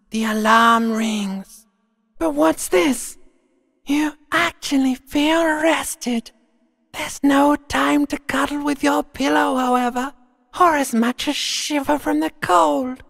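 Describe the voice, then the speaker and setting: A man voicing a video game's sinister inner voice of a wrecked body, raspy, breathy and strained, mocking and cruelly intimate in a theatrical way, often dropping to a whisper. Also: very high voice, a bit bright, heavily acted. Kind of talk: second-person video game voice acting. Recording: long cavernous reverb.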